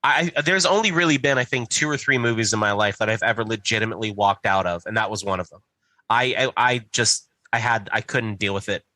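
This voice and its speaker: A man's voice: lowish voice, brisk, sharp tone, no room sound. A man co-hosting a podcast in casual film chat, insistent and often repeating his words. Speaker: podcast co-host. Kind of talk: casual chat about films.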